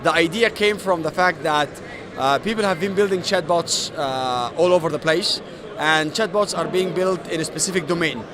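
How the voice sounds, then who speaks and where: A man's voice: a little high, moderate pace, crowd noise. A middle-aged man from Egypt, a solution architect, answering questions on a noisy exhibition floor and explaining at length with many "uh" fillers. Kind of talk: trade show interview. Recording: noisy trade show floor.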